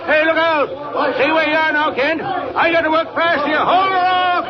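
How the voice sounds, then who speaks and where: An actor playing a folksy, plainspoken old icebreaker captain with rustic speech, in 1940s radio style. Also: male voice, very high-pitched, steady tone, moderate pace, over a noisy crowd. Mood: urgent, alarmed. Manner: folksy rustic dialect, old-salt talk. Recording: muffled, very noisy 1940 radio recording.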